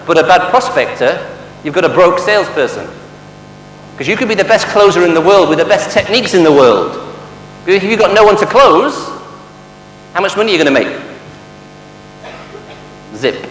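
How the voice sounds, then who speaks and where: A man's voice: a little high, fairly bright tone. A man from Manchester with a Mancunian accent, a sales trainer giving a motivational seminar talk, quizzing the audience with rhetorical questions and jokes. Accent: Mancunian English. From Manchester, England. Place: large hall with a live audience. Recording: echoey hall with long reverb and faint hum.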